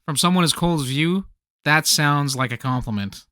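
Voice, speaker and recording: A man's voice: fairly high pitch, normal speed, pushed and bright, dry recording, studio quality. An actor in a comic radio play playing a stubborn, boastful young man with a grumbling, defensive, wry manner.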